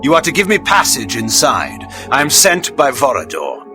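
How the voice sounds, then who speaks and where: An actor voicing an arrogant, menacing vampire who speaks in a haughty, formal, commanding manner in a video game. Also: male voice, medium pitch, huge pitch swings, normal speed, music in the background. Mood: coolly imperious. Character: arrogant, menacing male vampire, imperious and threatening. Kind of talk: theatrical video game voice acting.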